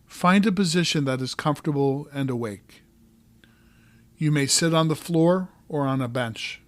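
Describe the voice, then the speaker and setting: A man narrating a guided meditation as a coach, giving calm, gentle instructions in short, measured phrases. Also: on the high side, no room sound.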